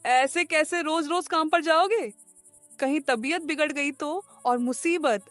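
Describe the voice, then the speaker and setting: A woman's voice: high-pitched, unhurried, dry recording, soft background music. A woman playing a caring, sensible young village wife in a cartoon moral story. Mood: worried. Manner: gentle, supportive.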